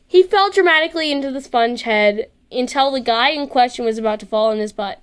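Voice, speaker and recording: High-voiced woman reading fiction aloud. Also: a little fast, clean tone. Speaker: amateur fan fiction narrator. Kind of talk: scripted story narration.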